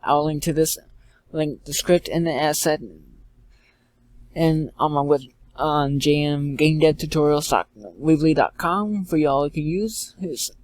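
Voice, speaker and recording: A man giving an informal step-by-step software tutorial in a halting way, repeating and correcting himself as he goes. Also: fairly high pitch, dry recording. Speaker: tutorial presenter. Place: indoors, screen-recording voice-over.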